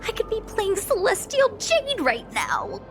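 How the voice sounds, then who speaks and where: An actress playing a lazy, grumbling young clerk in a video game, whining with swooping, sighing delivery. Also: female voice, very high-pitched, huge pitch swings, a little slow, a bit bright, faint music behind, exaggerated voice. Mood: whiny, dejected. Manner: grumbling, breathy sighs, dramatic swoops in tone. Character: lazy, whiny young female junior clerk, reluctant worker. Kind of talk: comic video game voice acting.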